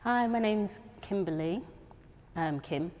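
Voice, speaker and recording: A woman in her thirties from Sheffield, animated as she introduces herself. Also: medium pitch, slow. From Sheffield, England. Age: 30s. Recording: hall reverb.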